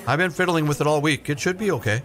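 A man playing a patient, practical grandfather in a radio play, gently fussing over his grandson. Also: fairly high pitch, quick pace, a little hiss or hum, natural voice.

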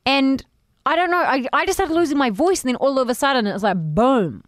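Podcast co-host: New woman, 30s, blunt and sweary, higher voice, dry studio mic. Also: expressive, a little fast, no room sound. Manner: frank, swears freely, rambling storytelling. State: recovering from pneumonia. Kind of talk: casual podcast chat.

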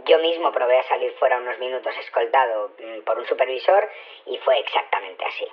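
An actor playing a flustered, hesitant security chief shrunk to half size in a radio drama, with a small pitched-up voice on a telephone. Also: male voice, a little fast. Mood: resigned, candid. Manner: hesitant, candid. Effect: pitched-up tiny voice. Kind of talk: scripted radio drama phone interview. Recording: muffled narrow telephone line.

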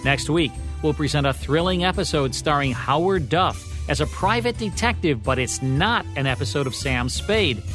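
Higher-voiced polished male radio host. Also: lively intonation, moderate pace, few pauses, fairly bright tone. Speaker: radio show host and producer. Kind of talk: scripted host narration. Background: faint music.

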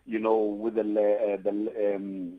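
A South African man giving conversational interview answers in a halting way, often saying "you know". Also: lowish voice, little pitch movement. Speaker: guest, theatre playwright and producer. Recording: tinny phone-like line.

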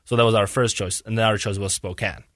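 A man's voice: on the low side, fairly even intonation, quick pace, dry recording, studio quality. A young man from Czechia speaking English as an in a casual interview, searching for words and rambling earnestly. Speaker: guest, hockey player.

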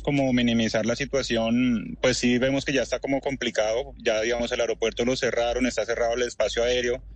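A Colombian man in his thirties talking by phone from a moving car, measured and thoughtful, with lots of fillers. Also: medium pitch. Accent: Colombian Spanish, lightly worn. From Colombia (Barranquilla). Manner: thoughtful, many fillers like "pues" and "o sea". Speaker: interviewee, translator in Ukraine. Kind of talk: phone interview while driving.

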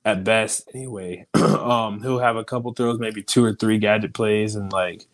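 A man co-hosting a sports podcast, talking in a relaxed, slangy way and calling his partner bro and dude. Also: on the low side, moderate pace. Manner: laid-back, slangy, says bro and dude. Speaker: podcast co-host. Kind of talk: casual sports chat.

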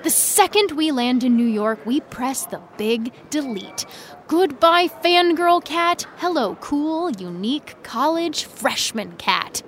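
High-pitched wry teenage girl. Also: lively intonation, slow, slight background noise. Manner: wry, defensive teen chatter. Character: guarded, self-deprecating high school senior girl, fan fiction writer. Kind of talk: naturalistic fiction podcast acting.

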